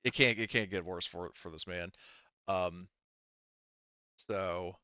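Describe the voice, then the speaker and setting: A man's voice: sits low, takes it slowly, sharp tone, clean tone, no echo, lo-fi sound. A man running a tabletop roleplaying game as game master, talking casually and hesitantly as he thinks through rules and rolls aloud. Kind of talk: casual unscripted game narration and rules talk.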